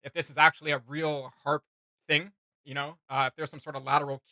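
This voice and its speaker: Male reaction host: bright, forceful voice on the high side, rambling casual delivery, dry close sound. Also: fairly quick, no echo. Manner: animated, rambling, slangy.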